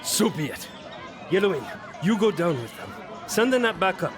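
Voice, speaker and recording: A man's voice: sits high, animated, moderate pace, crowd noise, noisy background. A man playing a terse, decisive leader giving orders in a fantasy audio drama. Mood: grim, decisive.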